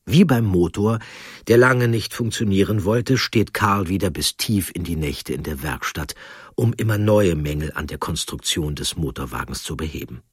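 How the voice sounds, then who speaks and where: Male narrator, very low pitch, husky, dry studio sound, deliberate scripted documentary delivery. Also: dry recording.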